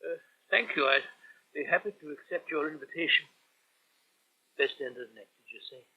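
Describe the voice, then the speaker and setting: A man's voice: fairly high pitch, moderate pace, slightly rough. An actor playing a stuffy, worried elderly English father in a 1970s radio soap, with pompous, formal phrasing. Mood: formal, concerned. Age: older. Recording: dull, lo-fi old radio tape.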